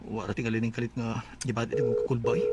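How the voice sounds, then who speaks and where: A man's voice: mid-range voice, little pitch movement, fast, vocal fry, highs cut off, heavy background noise, lightly acted. An actor playing a devoted, homesick working-class husband and father in a Bisaya radio drama, anxious and reluctant, with a Filipino accent. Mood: uneasy, restless.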